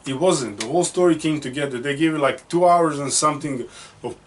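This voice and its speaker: A man's voice: fairly high pitch, fairly quick. Enthusiastic man, recorded from a distance. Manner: enthusiastic, talks over his co-host. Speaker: podcast co-host. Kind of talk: casual movie discussion. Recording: distant mic with faint noise.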